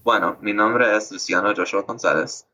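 A man's voice: lowish voice, normal speed, bright, forceful tone. A man from North Carolina co-hosting a podcast, speaking hesitantly and searching for words with frequent fillers. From North Carolina, USA. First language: Spanish. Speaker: podcast co-host. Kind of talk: casual podcast chat.

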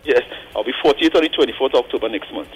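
A man in his forties calling in to a radio show, thinking aloud earnestly with frequent pauses and tag questions like "right?". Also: mid-range voice, dull audio, slight background noise. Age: 40s. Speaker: caller. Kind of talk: call-in radio commentary.

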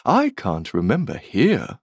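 A woman voicing a gentle young storybook fawn with a soft, sweet voice. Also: a bass voice, huge pitch swings, slow pace, creaky, breathy edge, dry recording, clean studio sound, exaggerated voice.

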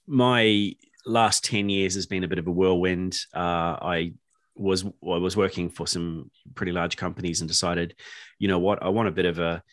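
A man's voice: low voice, normal speed, no echo, studio quality. A middle-aged man, a podcast guest chatting casually and laughing easily. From New Zealand. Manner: laughs easily, restarts sentences, relaxed. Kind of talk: casual interview chat.

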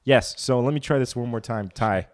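A man's voice: mid-range voice, normal speed, slight background noise. A young man hosting a casual comedy podcast, rambling through stories and riffing loosely. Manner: rambling storyteller, animated when riffing. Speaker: podcast host.

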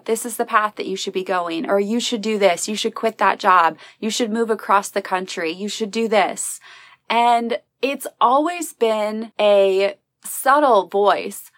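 A woman's voice: mid-range voice, a bit bright, no room sound. A woman hosting an inspirational podcast, talking earnestly and encouragingly about faith. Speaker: podcast host. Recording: recorded as a live video.

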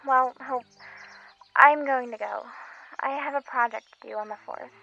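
A woman's voice: sits high, unhurried, gravelly, pushed and bright, exaggerated voice. A woman playing a concerned, nagging college friend on a phone call in an amateur audio drama. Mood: grumbling. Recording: dull, muffled phone-call sound.

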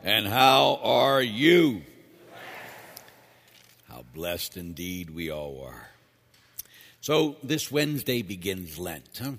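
A priest preaching in a church, a man with a lowish, husky and slightly hoarse voice. He talks to the congregation in a conversational way and drives his points home with repetition. The sound carries a long reverb from the church hall. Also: sing-song, slow pace, long pauses, a bit bright. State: losing his voice. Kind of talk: homily.

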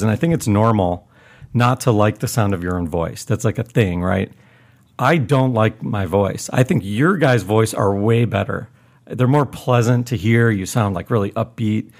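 A man's voice: fairly low pitch, animated, moderate pace, darkish tone. A man co-hosting a casual podcast chat about games, dry and self-deprecating. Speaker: podcast co-host.

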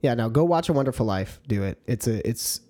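A man's voice: mid-range voice, expressive, brisk, warm tone. A young man co-hosting a podcast in casual chat, easygoing and agreeable, laughing along with his friend. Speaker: podcast co-host.

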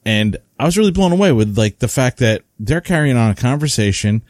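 A man's voice: mid-range voice, fairly quick, no room sound, clean studio sound. A man co-hosting a hobby podcast, chatting casually and telling stories with lots of fillers. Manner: rambling, animated storytelling with many fillers. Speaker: podcast co-host. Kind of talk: casual chat.

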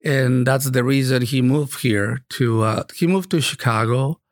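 Gravelly Mexican-accented man, halting. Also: mid-range voice, fairly even intonation, no echo, clean studio sound. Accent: Mexican Spanish. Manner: halting, many fillers and restarts. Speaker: parish choir singer, guest. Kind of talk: casual interview conversation.

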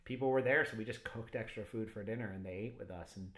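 A man's voice: on the low side, fast talker, a bit bright. A man hosting a food podcast, talking in an easygoing, casual way. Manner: easygoing, uses fillers. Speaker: podcast host. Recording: echoey room.

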